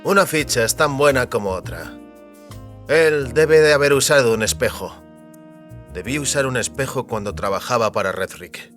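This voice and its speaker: An actor playing a wary, determined man trapped between powerful forces, speaking deliberately in an audio drama. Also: mid-range voice, animated, a bit bright, soft background music, close to an everyday voice. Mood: reasoning aloud.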